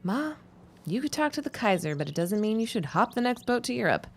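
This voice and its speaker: An actress playing a wry, stubborn young shopkeeper's daughter with a sardonic, quick tongue in a period audio drama. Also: female voice, fairly high pitch, sing-song. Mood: defensive, wry. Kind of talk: period radio-style audio drama acting.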